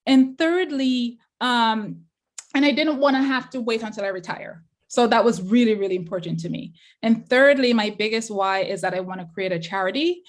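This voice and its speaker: Brief: middle-aged Jamaican woman, interview guest, quick chatty delivery. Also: fairly high pitch. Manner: quick and chatty, many fillers. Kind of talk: casual interview chat.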